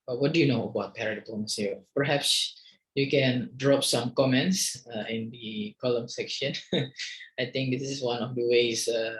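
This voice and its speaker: A man's voice: medium pitch, low-quality recording. A man in his thirties with an Indonesian accent, lecturing for an online video in a hesitant way, with many fillers and restarts. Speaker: university lecturer. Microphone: video call microphone.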